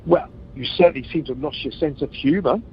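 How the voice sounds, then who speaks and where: A man's voice: a little high, fast, lightly acted. A man playing a jokey, needling newlywed husband in an amateur comedy radio play. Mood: hurt, sarcastic. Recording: muffled conference call, faint noise.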